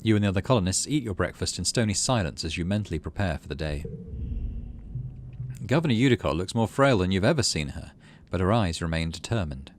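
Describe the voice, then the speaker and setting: A man's voice: low-pitched, lively intonation, quick pace, a little hiss or hum. A man hosting a gaming video, reading visual novel text aloud and adding casual commentary. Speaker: gaming channel host.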